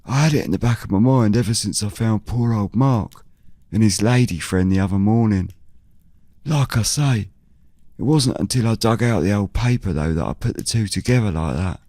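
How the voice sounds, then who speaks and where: A man's voice: on the low side, moderate pace, mellow, dry recording, studio quality, voice pushed up, natural voice. A man playing a chatty, hospitable elderly villager in an audio drama, talking in folksy, repetitive, clipped phrases. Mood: welcoming.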